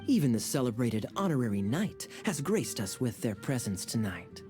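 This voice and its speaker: Man playing a suave, teasing knight captain, animated. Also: medium pitch, moderate pace, soft background music, natural voice. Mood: amused. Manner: smooth, wry, theatrical. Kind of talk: video game voice acting.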